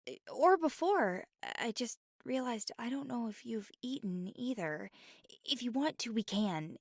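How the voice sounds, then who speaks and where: A woman's voice: fairly high pitch, expressive, unhurried, dry recording, studio quality. A woman playing a nervous, apologetic young woman with water powers in an ASMR roleplay, stammering and slipping into whispered asides. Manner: drops into soft whispered asides.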